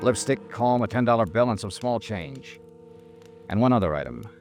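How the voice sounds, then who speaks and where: An actor playing a wry, hard-boiled male insurance investigator, telling his case crisply and dryly in 1950s radio noir style. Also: on the low side, very animated, a little mellow, soft background music. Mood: dry, narrating. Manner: crisp, dry, deliberate storytelling.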